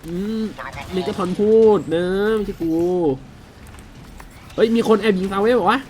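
A man's voice: high-pitched, steady tone, unhurried, a little mellow, a little hiss or hum. A man doing casual video game commentary, chatting to viewers with frequent exclamations and crude slang. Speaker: gaming video host. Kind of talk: casual game commentary with loosely dubbed dialogue.

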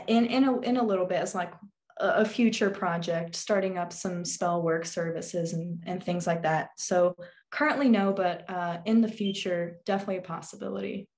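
A teenage woman on a video call, answering interview questions thoughtfully with many pauses and ums. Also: mid-range voice, steady tone, a little mellow. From England, also Turkey, Azerbaijan and Alaska. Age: teens. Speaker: guest, witchcraft YouTuber. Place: small bedroom. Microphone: video call webcam.

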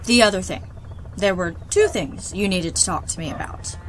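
An actress in an audio drama playing a brisk, skeptical airship captain, direct and authoritative. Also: female voice, lowish voice, slight background noise, close to an everyday voice. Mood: businesslike.

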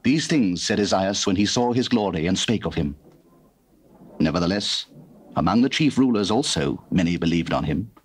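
A man's voice: low-pitched, expressive, moderate pace, husky. A man narrating dramatized scripture in a formal, theatrical storytelling manner. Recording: noisy old cassette recording.

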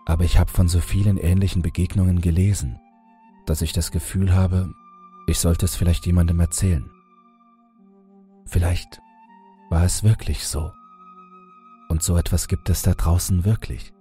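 A man reading a scripted horror audiobook in a measured, dramatic storytelling manner. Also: low-pitched, level tone, warm tone. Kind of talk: scripted creepypasta audiobook narration. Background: background music.

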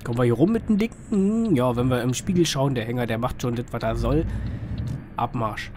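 A man with a slightly hoarse, mid-range voice chats his way through a driving game. He rambles colloquially and puts small questions to himself. Vehicle engine noise runs behind him. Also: mid-range voice, animated, fairly quick, darkish tone. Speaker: gaming video host. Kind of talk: casual chatty driving-game commentary. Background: truck simulator engine sound.

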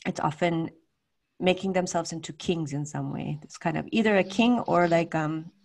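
A middle-aged woman with a low voice speaks as a guest lecturer taking questions on a video call. She thinks aloud, often searching for the right word in an informal way. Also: moderate pace, vocal fry, darkish tone. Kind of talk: informal question-and-answer at an online talk. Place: home room with bookshelves, on a video call. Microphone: large desk microphone.

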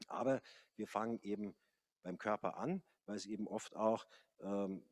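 A man's voice: on the low side, normal speed, no echo. A middle-aged man, a yoga teacher, talking on a café panel with a handheld mic and many hesitant fillers. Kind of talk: panel conversation. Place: large café hall, indoors.

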